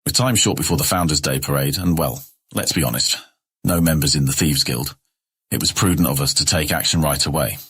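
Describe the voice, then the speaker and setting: A condescending schemer for an audio drama, delivering polite cruelty in a very deep, husky voice. Every line drips with mocking courtesy. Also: male voice, a little fast, fairly bright tone, exaggerated voice. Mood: smug. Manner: smug, mocking, coldly sarcastic. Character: scheming, condescending noble elder brother. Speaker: voice actor.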